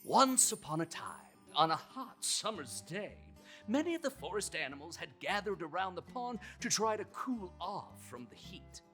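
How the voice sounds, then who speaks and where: A woman hosting and reading children's bedtime stories in an animated, theatrical way with dramatic pauses. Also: on the low side, far from the mic, soft background music. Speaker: children's bedtime storyteller and host. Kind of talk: animated scripted storytelling for children.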